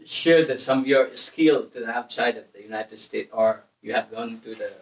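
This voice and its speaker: Man interviewing in halting English. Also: mid-range voice, lo-fi sound. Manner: halting, broken phrasing. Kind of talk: casual interview questions. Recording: echoey room, distant mic.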